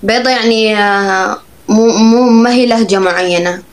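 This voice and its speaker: A woman co-hosting a casual phone live stream, relaxed and quick to laugh. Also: mid-range voice. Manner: easygoing, laughs often.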